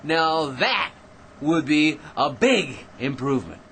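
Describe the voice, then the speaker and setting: An American actor in a radio drama playing a cocky, ordinary man who rambles smugly and stammers with excitement. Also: fairly high pitch, takes it slowly, a little hiss or hum, heavily acted. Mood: excited rambling. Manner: rambling, smug, stammering excitedly, pestering with tag questions. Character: cocky, chattering, ordinary man full of crackpot schemes. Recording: radio drama with music underneath.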